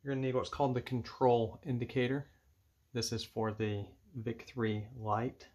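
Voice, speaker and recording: A man giving measured, matter-of-fact step-by-step instructions as he demonstrates an equipment setup indoors at a table. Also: medium pitch, level tone, darkish tone. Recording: clear background noise with clicks.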